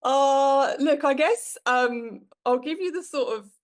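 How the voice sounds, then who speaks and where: A woman's voice: high-pitched, slow pace. Chatty British woman telling her story. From United Kingdom. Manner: frank and animated, with frequent fillers. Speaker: guest. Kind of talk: candid conversational storytelling.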